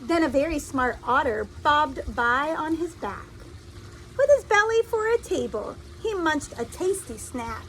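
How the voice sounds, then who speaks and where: Female storyteller for children, lively swooping intonation, unhurried teacherly delivery, light noisy ambience. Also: high voice. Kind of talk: expressive read-aloud storytelling for children.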